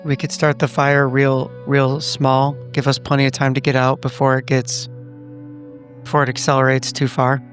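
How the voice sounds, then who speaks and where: A man's voice: medium pitch, monotone, moderate pace, fairly bright tone, soft background music. A man playing a tabletop roleplaying game, speaking in character in short phrases that echo one another. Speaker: tabletop roleplay podcast player.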